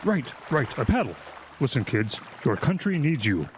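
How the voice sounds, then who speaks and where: Casting: actor as pompous, evasive secret agent, very animated pitch, radio play, noisy water backdrop. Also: male voice, normal speed, lightly acted. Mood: evasive, flustered. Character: bumbling, secretive, overconfident male government agent. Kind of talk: audio drama acting.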